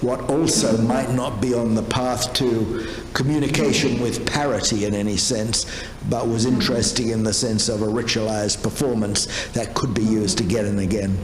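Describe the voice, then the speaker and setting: A man asking long, formally phrased academic questions in a measured, deliberate way. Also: on the low side, steady tone. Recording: echoey hall with noise.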